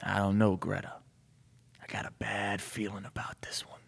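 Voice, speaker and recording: A man voicing a grizzled, stressed vampire police chief in a slow, grave, hushed, deep and gravelly voice. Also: completely flat delivery. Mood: grave, worried. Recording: reverberant room.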